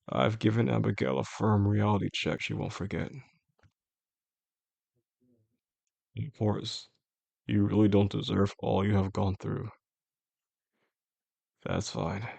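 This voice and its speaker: A man playing a possessive, soft-spoken boss in an intimate audio drama, talking gently and with control straight to the listener in a low, gravelly voice. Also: dry recording, heavily acted. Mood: protective, possessive. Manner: slow, soothing, gentle, controlled. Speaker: voice actor.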